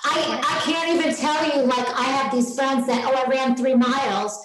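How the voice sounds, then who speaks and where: A woman's voice: high-pitched, fairly even intonation, moderate pace, a bit bright, roomy sound, a little hiss or hum, rough recording. A woman in her sixties hosting a casual interview, talking with effusive enthusiasm. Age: 60s. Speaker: podcast host.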